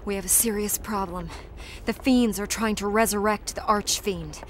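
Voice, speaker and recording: Lady doing serious female agent in a video game, urgent warning tone, normal pitch, faint background noise. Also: steady tone, normal speed, natural voice. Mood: grave. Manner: earnest, urgent. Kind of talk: dramatic video game acting.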